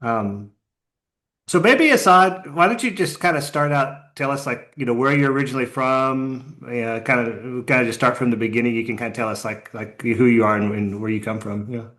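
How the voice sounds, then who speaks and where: A man's voice: medium pitch, moderate pace, fairly bright tone. An American man hosting a podcast in a casual interview style, informal and often circling back over his phrases. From United States. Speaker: podcast host.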